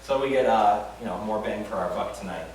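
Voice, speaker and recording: A man's voice: fairly low pitch, a little fast, a little mellow, far from the mic, a little hiss or hum. A man preaching a casual, conversational sermon at a church retreat, with lots of fillers and self-deprecating humor. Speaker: pastor. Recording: very echoey room, voice from afar.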